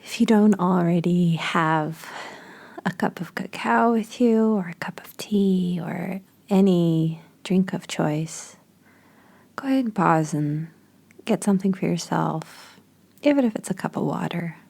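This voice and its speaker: A woman leading a guided meditation in a soft, breathy voice with gentle, unhurried, spaced phrases. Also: fairly low pitch.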